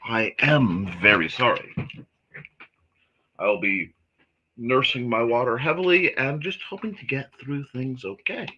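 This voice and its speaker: A man hosting a casual morning livestream, his voice a bit hoarse and scratchy, talking in an apologetic, rambling way with lots of fillers. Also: medium pitch, lively intonation, measured pace, long pauses, pushed and bright, dull audio. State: slightly hoarse from a reaction. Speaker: host of a creative morning show.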